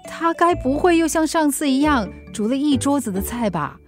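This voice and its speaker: High-voiced, teasing Singaporean mother. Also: expressive, normal speed, faint music behind. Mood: fretful. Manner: chiding, playful banter. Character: teasing, mildly exasperated mother just out of hospital. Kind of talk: Mandarin radio drama acting.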